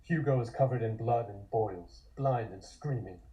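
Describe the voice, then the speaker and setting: A man's voice: medium pitch, moderate pace, warm tone, rough recording. A man reading scripted horror stage directions as the narrator of a table read.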